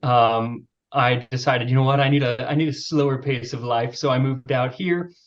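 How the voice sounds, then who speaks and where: A man with a youthful-sounding voice talks as a podcast guest. His speech is relaxed and self-deprecating, with plenty of fillers. The recording sounds a little dull and lo-fi. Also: mid-range voice, normal speed. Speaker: podcast guest, video creator. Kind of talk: casual interview chat.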